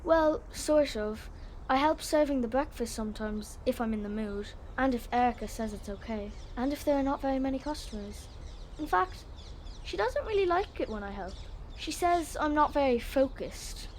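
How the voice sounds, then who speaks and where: A high, girlish voice for a dreamy and earnest schoolgirl acted by a woman in a children's audio play. She chatters with open curiosity. Faint outdoor noise and birdsong sit behind her. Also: lively intonation, normal speed, darkish tone. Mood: chatty. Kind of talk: children's audio drama acting.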